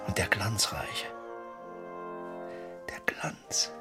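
A man's voice: very slow, whispered, loud music behind the voice. An actor playing a brooding, lyrical man in a German period radio drama, theatrical and poetic in his delivery. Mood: mournful, rapt.

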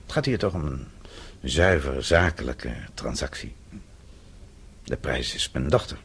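An actor playing a weary but defiant captive businessman in a Dutch radio drama, sardonic and blunt, halting at times. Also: a bass voice, animated, a bit bright, slightly rough, heavily acted. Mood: defiant, scornful.

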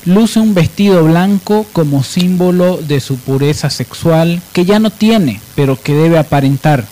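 A man's voice: fairly high pitch, a little mellow, rough recording. A man hosting radio, delivering commentary in a sardonic, emphatic way. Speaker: radio show host.

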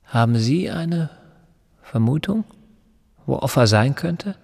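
An actor playing a self-assured English tutor and neighbour, with precise, measured wording, in a radio drama. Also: male voice, mid-range voice, lively intonation, creaky, darkish tone, husky, heavily acted. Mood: guarded. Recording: long reverberant room.

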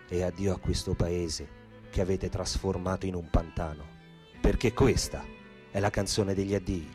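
An actor playing a wry, earthy Roman storyteller in a theatrical radio piece, with a Roman accent. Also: male voice, low-pitched, a little mellow, natural voice. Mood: solemn declaiming.

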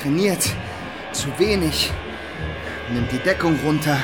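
A grandiose history narrator in a radio play, relishing every phrase. The voice is slightly high and very animated, with loud music underneath. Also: male voice, takes it slowly. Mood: dramatic, wry. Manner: dramatic, grandiose.

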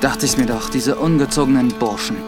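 An actor playing a polite, mild priest travelling alone in a German radio drama. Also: male voice, mid-range voice, fairly quick, over music, close to an everyday voice. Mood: earnest. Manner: courteous, formal, mild. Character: polite young-to-middle-aged male priest travelling alone.

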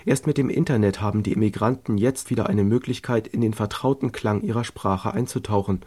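A man reading a scripted radio feature in a calm, informative way. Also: on the low side, brisk, breathy edge. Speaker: radio narrator.

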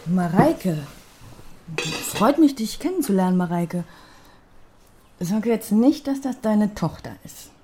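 Wry, sceptical woman, mid-pitched. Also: expressive, takes it slowly, long pauses, dark tone, slight background noise. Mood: friendly, teasing. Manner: sardonic, direct. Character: wry, sceptical, teasing female librarian. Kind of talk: radio drama dialogue.

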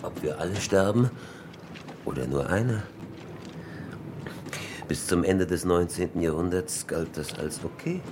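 An actor playing a grim shipwreck survivor in a radio horror drama, speaking deliberately and matter-of-factly. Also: male voice, sits low, noisy background. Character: grim shipwreck survivor calmly proposing the unthinkable.

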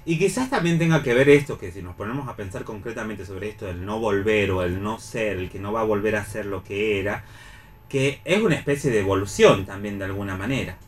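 A man's voice: fairly low pitch, lively intonation, a little fast. Argentine man in reflective radio discussion. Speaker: radio panelist.

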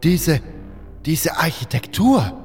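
A man voicing an excitable, awestruck young scholarly mage who stammers a little, in a fantasy audio drama. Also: fairly high pitch, slow, long reverb tail, soft background music, voice pushed up. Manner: breathless wonder, stammering. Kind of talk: fantasy audiobook character acting.

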